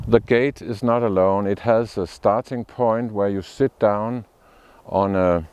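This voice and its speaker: A man from Denmark, a sculptor, speaking in a documentary interview with thoughtful, unhurried restarts. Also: fairly low pitch, a little mellow, smooth, dry recording. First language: Danish.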